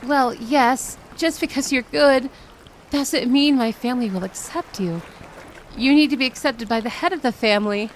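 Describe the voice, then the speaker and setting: A woman's voice: on the high side, moderate pace, slight background noise, natural voice. A woman playing a proud, easily flustered and annoyed young mermaid princess in a roleplay audio drama, pouty and prone to stammering. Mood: doubtful.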